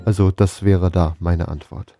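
A man's voice: deep voice, fairly even intonation, measured pace, warm tone, no room sound, studio quality, faint music behind. A man chatting casually and jokingly as a podcast co-host. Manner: joking, playful. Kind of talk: casual comedic podcast banter.

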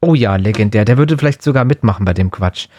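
A man's voice: fairly low pitch, lively intonation, fast talker, warm tone, no room sound, clean studio sound. A man co-hosting a podcast in casual, joking chat. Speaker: podcast co-host.

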